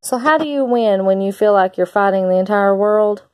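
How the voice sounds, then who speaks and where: A woman's voice: medium pitch, normal speed, darkish tone, no echo. A woman reading a children's picture book aloud like a teacher, expressively acting out the characters' lines.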